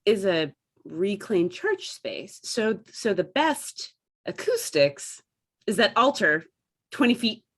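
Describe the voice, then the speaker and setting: A woman's voice: medium pitch, big pitch swings, slow pace, fairly bright tone. A woman talking casually as a theatre panelist in a group conversation.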